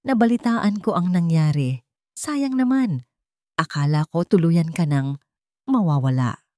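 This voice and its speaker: A woman's voice: fairly low pitch, lively intonation, unhurried, darkish tone, dry recording, studio quality. A woman narrator playing a scheming, haughty female villain with a taunting, venomous manner in an audio drama. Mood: mocking. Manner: taunting, haughty, mixes in English.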